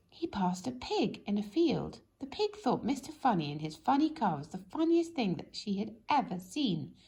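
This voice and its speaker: A woman reading a children's story aloud in an expressive, animated way, speaking directly to young listeners. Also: fairly high pitch, creaky. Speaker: storybook reader.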